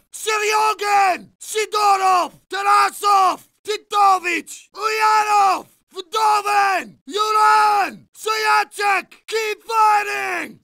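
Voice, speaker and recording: A young Russian soldier in a war game, shouting at a very high, strained pitch. His voice is heavily gravelly and his accent Russian. He delivers urgent, earnest battlefield calls to his comrades in short bursts. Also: no echo, clean studio sound, heavily acted. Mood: urgent alerting. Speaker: voice actor. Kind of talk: video game battle barks.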